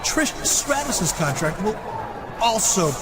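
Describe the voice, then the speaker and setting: Over a roaring crowd, a showy wrestling boss in a game. He speaks slowly and commandingly, with big emphatic swings in pitch. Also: very animated, exaggerated voice. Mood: authoritative, showy. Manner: emphatic, drawn-out announcing. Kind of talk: video game cutscene acting. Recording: loud crowd and noise.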